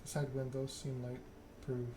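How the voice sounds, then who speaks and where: A man narrating a story game aloud in a soft ASMR style. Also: mid-range voice, completely flat delivery, a little slow. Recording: clear background noise.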